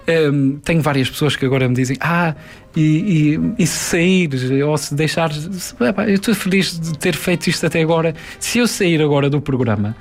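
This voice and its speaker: A man's voice: a little high, normal speed. A man in his twenties with a São Miguel Azorean accent, a TV presenter talking earnestly with many hesitations in a studio interview. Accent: São Miguel Azorean Portuguese. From Azores, Portugal. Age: 20s. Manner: earnest, reflective, with frequent hesitations. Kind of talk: casual interview chat.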